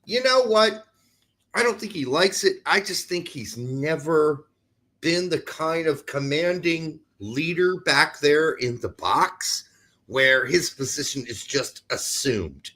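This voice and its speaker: A man's voice: on the high side, animated, slow pace, pushed and bright. A man with an American accent chatting casually about sports as a livestream co-host, blunt and opinionated.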